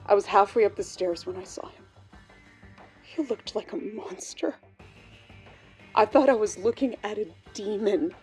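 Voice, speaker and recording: A woman playing a shaken, worried bank colleague, recounting events earnestly in a husky voice for an audio drama interview. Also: mid-range voice, unhurried, pauses often, a little mellow, soft background music. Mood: haunted, frightened. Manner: hesitant, earnest, confiding.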